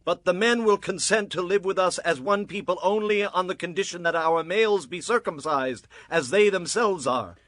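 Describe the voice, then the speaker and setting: A man's voice: sits high, moderate pace, few pauses, fairly bright tone, no echo. An actor playing an emphatic, declamatory biblical tribesman in a dramatized audio Bible. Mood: persuasive. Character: forceful biblical tribesmen: brothers, fathers, suitors.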